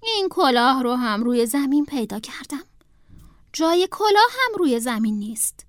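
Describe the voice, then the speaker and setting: A woman's voice: high voice, sing-song, dry recording, clean studio sound. A woman voicing a stern, tidy-minded turtle father in a children's storybook. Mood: displeased.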